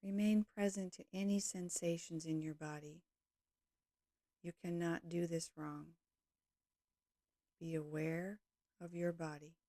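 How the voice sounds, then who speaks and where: A woman leading a guided yoga nidra meditation, speaking calmly and gently in slow, measured short phrases. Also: fairly low pitch, dry recording, clean studio sound.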